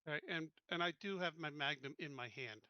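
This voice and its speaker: A man's voice: on the high side, brisk, no room sound, lo-fi sound. A man chatting casually as a player in a tabletop roleplaying game.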